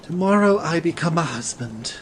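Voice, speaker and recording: An actor playing a suave, languid gentleman swordsman in a dramatized audiobook, speaking in a courtly, formal way. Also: a little high, expressive, moderate pace, slight background noise, close to an everyday voice. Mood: languid, wistful. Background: ambient sea sound bed.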